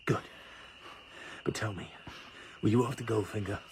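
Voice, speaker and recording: An actor with a British accent playing a cool, determined secret agent in a radio drama. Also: male voice, on the low side, moderate pace, creaky, background noise. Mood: urgent, hushed. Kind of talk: radio drama acting with narrated thoughts. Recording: radio play with loud music underneath.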